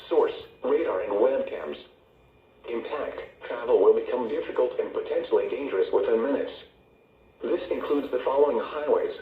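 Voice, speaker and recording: A synthetic American male voice reading a formal weather bulletin in an impersonal, measured way, heard through a radio. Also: medium pitch. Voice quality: synthetic text-to-speech voice. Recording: tinny weather radio, echoey, distant, faint noise.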